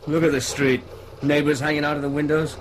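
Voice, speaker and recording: An actor with an English accent playing an uneasy, probing son-in-law in a naturalistic radio drama, careful and questioning. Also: male voice, a little high, level tone, a little fast, a little hiss or hum, close to an everyday voice, poor audio. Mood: disapproving, sombre.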